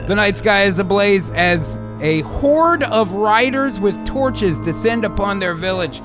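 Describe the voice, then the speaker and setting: A high-voiced man with very lively, swooping delivery narrates a drama script in a theatrical style. He drops into casual, joking asides, and music plays behind him. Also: a little slow. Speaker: host and narrator of an improvised radio drama. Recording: music under the voice. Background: backing music.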